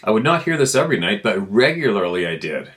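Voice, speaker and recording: A man's voice: on the low side, very animated, fast, fairly bright tone. A man reading a first-person account aloud in an informal storytelling style. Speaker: narrator reading listener emails.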